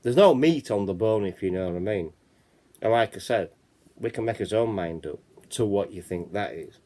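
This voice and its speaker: A man's voice: fairly low pitch, expressive, moderate pace, mellow. A man with a Yorkshire accent hosting a casual chat channel, reading out reports and rambling, often saying "you know what I mean". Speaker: channel host.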